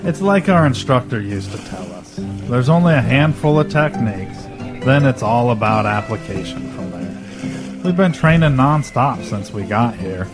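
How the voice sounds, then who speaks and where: An actor playing an easygoing, affectionate husband in an audio drama. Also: male voice, medium pitch, expressive, normal speed, lightly acted. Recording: music behind the voice.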